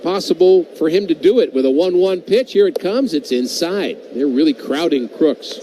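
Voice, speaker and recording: Male baseball radio announcer, slightly high voice, wry casual banter, clearly noisy broadcast. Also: lively intonation, moderate pace, warm tone. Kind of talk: live radio sports commentary with casual banter.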